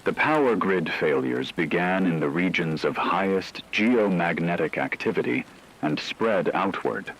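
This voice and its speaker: A man playing a grave expert in a scripted radio disaster broadcast, speaking in a measured, technical and formal way. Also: low voice, animated, fairly bright tone, full character voice, rough recording. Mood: grave, clinical. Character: grave male expert briefing on a global disaster.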